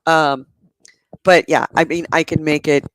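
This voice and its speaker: A woman hosting a podcast in a casual chat, her voice hoarse and gravelly, talking in a chatty, animated way and laughing often. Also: deep voice, unhurried, no room sound. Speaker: podcast host. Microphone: adjustable microphone close to the mouth.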